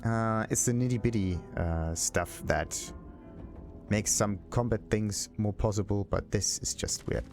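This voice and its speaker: A man's voice: fairly low pitch, unhurried, a little mellow, faint music behind. A man giving casual, rambling video game commentary as a let's play host, thinking aloud to himself.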